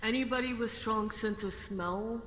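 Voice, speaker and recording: A game master narrating an online role-play in a halting, deliberate way. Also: mid-range voice, a bit bright. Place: online VRChat voice session. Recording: dull, echoey, reverberant, noisy audio.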